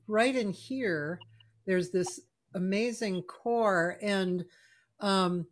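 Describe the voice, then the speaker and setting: Older woman hosting a video-call chat. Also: medium pitch. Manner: warm, effusive, searching for words. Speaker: host. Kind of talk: casual video-call interview. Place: home music room, indoors. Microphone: studio mic on a video call.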